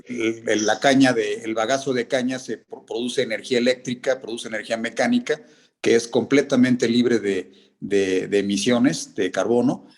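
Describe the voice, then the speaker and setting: A man's voice: medium pitch, steady tone, moderate pace. Guy chiming in on a panel, mid voice, lots of ehs and hesitations. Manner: hesitant, many filler sounds. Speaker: audience participant. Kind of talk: comment in a panel discussion.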